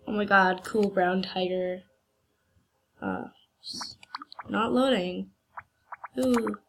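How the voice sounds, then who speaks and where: A woman's voice: mid-range voice, takes it slowly, fairly bright tone, a little hiss or hum. A woman with a cold gives rambling, hesitant commentary while playing a computer game, with faint game music behind her. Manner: hesitant and rambling, many fillers. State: has a cold. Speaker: gaming channel host. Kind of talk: casual gameplay commentary. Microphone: computer screen recording.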